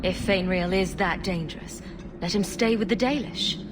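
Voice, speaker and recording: A woman playing a calm, diplomatic hero in a fantasy video game, earnest and reasoning. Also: lowish voice, moderate pace, natural voice. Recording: noisy game cutscene capture.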